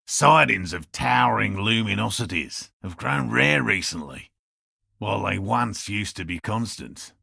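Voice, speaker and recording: Gravelly old cryptozoologist, pedantic and proud. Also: male voice, on the low side, sharp tone, no room sound, clean studio sound, heavily acted. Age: older. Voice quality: gravelly, throaty. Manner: pedantic, curt, precise academic diction. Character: proud, prickly old cryptozoologist, devoted field researcher. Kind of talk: video game character dialogue.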